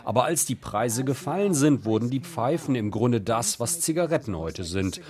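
Mid-pitched man reading voice-over. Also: lively intonation, moderate pace, a little mellow. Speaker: voice-over translator. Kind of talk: scripted translation voice-over of interview quotes.

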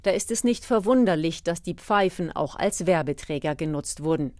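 A woman narrates a radio feature in a fairly low voice. Her delivery is scripted documentary storytelling, recorded close and dry with very little room sound. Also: expressive, normal speed, a little mellow, dry recording.

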